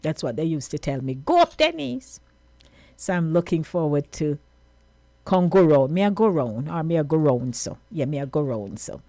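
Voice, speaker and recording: A woman's voice: deep voice, sing-song, moderate pace, mellow, no echo. A woman with a Jamaican accent hosting a radio show, chatting casually and slipping into patois as she signs off. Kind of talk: casual on-air sign-off.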